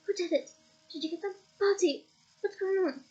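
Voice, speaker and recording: A woman voicing an excitable, flustered wizard official who fires off questions. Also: very high-pitched, moderate pace, muffled recording, pitched above the performer's own voice. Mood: excited.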